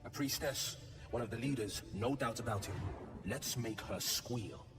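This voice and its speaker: A man's voice: mid-range voice, measured pace, over music, close to an everyday voice. An actor playing a watchful, resolute male adventurer in a fantasy video game, earnest and dramatic.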